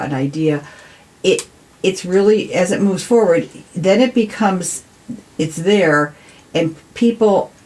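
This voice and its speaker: Woman, American, deep-voiced, earnest local-politician type explaining things conversationally. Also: darkish tone, rough recording. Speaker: city council member, podcast guest. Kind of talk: conversational interview.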